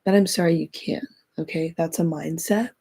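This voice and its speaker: A woman recovering from being sick, raspy as she hosts a chatty, encouraging self-help podcast. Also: deep voice, fairly even intonation, normal speed, warm tone. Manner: chatty, encouraging, repeats phrases. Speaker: podcast host.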